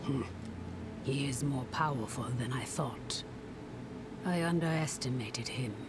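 An actress playing a troubled, guarded woman in a dramatic fantasy game, speaking in a measured, somber way. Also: a bass voice, noisy background. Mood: grave. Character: troubled, guarded woman haunted by demonic whispers. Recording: loud game music behind voice.

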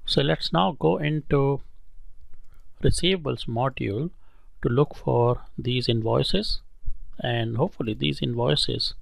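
A male instructor talks through a software tutorial step by step in an explanatory way. His voice sits at a middling pitch with a gravelly fry. The recording is dry and close. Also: a little slow, no room sound.

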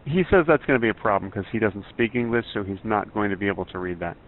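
A man's voice: lowish voice, animated, quick pace, slight background noise. A middle-aged man with an American accent making a polite, straight-faced phone relay on a headset, in a muffled recording. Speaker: prank caller posing as translator. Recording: muffled, band-limited call recording.

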